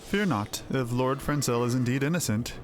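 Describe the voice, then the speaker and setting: A man voicing a calm, pious inquisitor in a fantasy game, formal and a little patronizing. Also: mid-range voice, normal speed, slight background noise, close to an everyday voice. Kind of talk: fantasy game dialogue read aloud. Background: game music.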